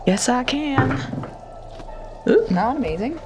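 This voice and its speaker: A woman playing a tabletop roleplaying game, improvising casually in halting, informal phrases over a cinematic score. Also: high-pitched, lively intonation, over music.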